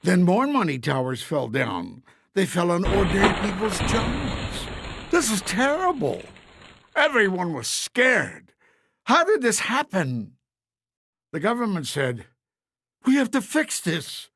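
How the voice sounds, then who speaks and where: Animated male fairy-tale storyteller. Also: high-pitched, unhurried, creaky, slight background noise. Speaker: narrator. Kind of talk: scripted fairy-tale narration.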